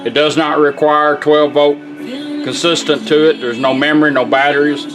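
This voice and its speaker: A man's voice: on the high side, fairly even intonation, normal speed. A middle-aged man with a Southern American accent giving a casual, plainspoken product demonstration in a folksy way. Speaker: radio restorer and product demonstrator. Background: music playing.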